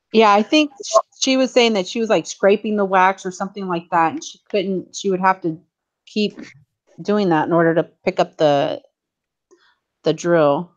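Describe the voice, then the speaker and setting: A woman's voice: medium pitch, a little slow, pauses often, highs cut off. A woman hosting a livestream, chatting casually while she works on a craft and laughing often. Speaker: livestream host.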